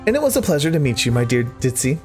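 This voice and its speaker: Man acting genteel gentleman, visual novel character, formal, flowery, varied intonation, faint music behind. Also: mid-range voice, very animated, quick pace, lightly acted. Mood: courteous farewell.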